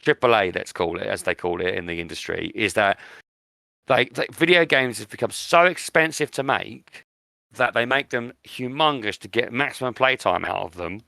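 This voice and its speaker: A British man talks casually as a podcast host in a lowish, creaky voice. He is enthusiastic and repeats words to hammer points home, with a crisp, forward edge to the sound and a dry, close recording. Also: moderate pace, pushed and bright, dry recording. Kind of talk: casual chat.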